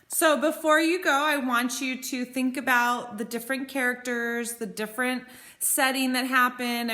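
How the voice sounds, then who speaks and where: A woman in her thirties reading a picture book aloud as a teacher, expressive and sing-song, explaining simply for children. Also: a little high. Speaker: teacher reading to young pupils.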